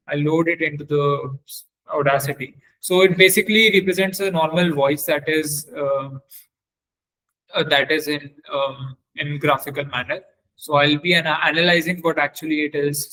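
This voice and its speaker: Guy doing a live software walkthrough for a webinar, voice a bit high, keeps stopping and rewording stuff. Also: low-quality recording. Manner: halting, repeats and corrects himself. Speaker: webinar trainer. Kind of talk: live software demonstration lecture.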